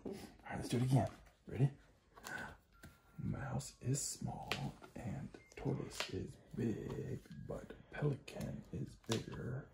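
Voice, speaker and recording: Guy reading kids bedtime book, gentle n whispery, gravelly edge, noisy phone video at home. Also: mid-range voice. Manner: gentle sing-song, often hushed or whispered. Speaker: parent reading to a toddler. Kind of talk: bedtime picture-book read-aloud. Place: small carpeted room at home.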